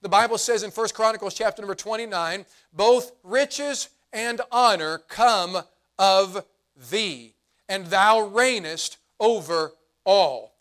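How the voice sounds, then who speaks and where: A man preaching a sermon as pastor, his voice hoarse and fading, earnest, slowing down for emphasis. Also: sits high, fairly bright tone. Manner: earnest preaching, slows and drops to emphasize points.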